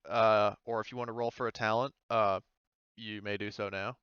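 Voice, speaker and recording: A man's voice: fairly low pitch, little pitch movement, normal speed, a bit bright, clear, resonant tone, no echo, lo-fi sound. A man casually running a tabletop roleplaying game as game master, speaking informally with some swearing.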